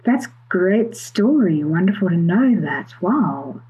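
A woman's voice: lowish voice, very animated, slow, mellow, slightly rough, dull audio. A woman hosting a conversational podcast interview in a chatty, appreciative manner.

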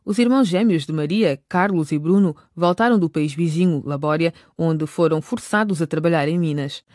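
A woman's voice: sits low, moderate pace, a little mellow, dry recording, clean studio sound. A woman narrating a radio drama from a script.

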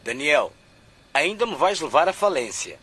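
Strict but loving middle-aged dad, husky, voice swings a lot, radio soap. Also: male voice, a little high, sing-song, moderate pace, sharp tone, full character voice. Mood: stern. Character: stern but fond middle-aged mine-owning father. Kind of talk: radio drama acting.

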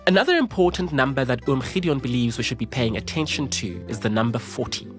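A man's voice: medium pitch, expressive, fast. A man presenting with scripted narration that introduces points in a report. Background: clear music.

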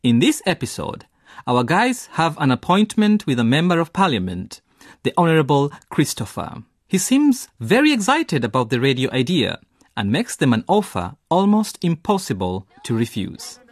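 A man narrating the episode introduction of an educational radio drama in a clear announcer delivery. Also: a little high, very animated, moderate pace, no room sound, clean studio sound. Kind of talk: scripted narration.

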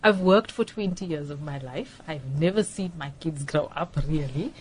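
A woman's voice: deep voice, normal speed, a little hiss or hum. A woman in her forties from South Africa, a radio guest telling her life story candidly with nervous fillers. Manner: candid, nervous, self-deprecating, many fillers. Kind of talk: personal story in a radio interview. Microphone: studio microphone.

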